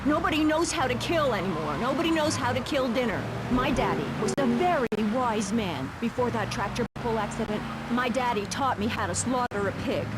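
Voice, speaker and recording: An actress playing a judgmental, easily flustered suburban mother ranting on a satirical talk radio panel in a video game. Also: high voice. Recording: loud street and car noise behind.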